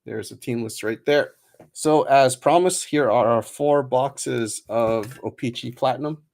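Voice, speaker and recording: A man hosting a trading card break stream, chatting casually with many fillers and quick laughs, and reading out a long list of names. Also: mid-range voice, gravelly, a little mellow, slight background noise. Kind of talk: casual livestream chat.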